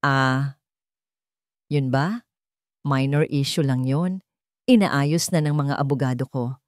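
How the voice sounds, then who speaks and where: A woman's voice: rumbling low, takes it slowly, dry recording, clean studio sound, pitched below the performer's own voice. A woman voicing a boastful, self-important young businessman in an audio drama. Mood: defensive. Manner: boastful, switches between languages.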